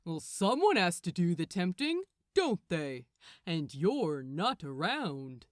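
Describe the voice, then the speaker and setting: A woman's voice: on the low side, big pitch swings, takes it slowly, no echo, studio quality. A woman voicing a smug, condescending male archangel in a story, with a taunting, emphatic manner. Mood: sardonic.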